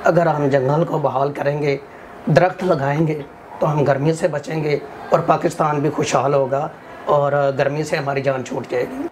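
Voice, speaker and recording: A man from Pakistan with a sore throat, answering an interviewer earnestly and making appeals, often filling pauses with set phrases. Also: a little high, steady tone, darkish tone, slight background noise. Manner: earnest, earnestly appealing, with frequent "jo hai" fillers. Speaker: forest restoration activist, interview guest.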